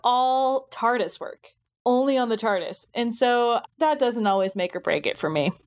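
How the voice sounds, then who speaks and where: A woman's voice: a little high, expressive, moderate pace. A woman chatting casually as co-host of a review podcast.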